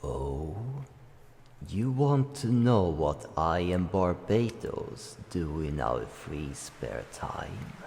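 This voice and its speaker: A man voicing an ancient dragon guardian, solemn, calm and kindly, speaking slowly in formal, measured phrases in a roleplay addressed to the listener. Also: full character voice. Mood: calm, fond. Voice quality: deep, gravelly. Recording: long cavernous reverb, faint background noise.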